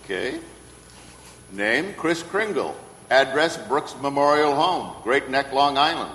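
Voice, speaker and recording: A man playing a kindly elderly department-store Santa in a radio play, gentle, earnest and courteous. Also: medium pitch, animated, slow pace. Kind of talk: old-time radio play acting. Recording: echoey hall, distant reverberant stage sound.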